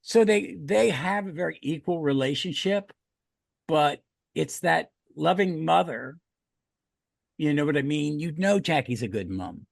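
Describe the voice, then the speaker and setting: A man's voice: fairly high pitch, lively intonation, measured pace, creaky, no room sound, studio quality. A man hosting a podcast in casual chat, with informal, choppy phrasing. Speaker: podcast host.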